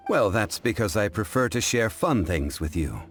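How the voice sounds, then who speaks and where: An actor playing a dignified, composed scholarly gentleman in a video game, speaking in a formal, measured, erudite way. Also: on the low side, animated, lightly acted. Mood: courteous. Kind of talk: video game cutscene dialogue. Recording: music behind the voice.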